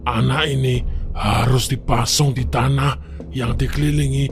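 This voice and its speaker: A man's voice: mid-range voice, steady tone, measured pace, a little hiss or hum, close to an everyday voice. A man voicing a grave village shaman in a cartoon drama, speaking in a solemn, foreboding way. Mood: grave, ominous.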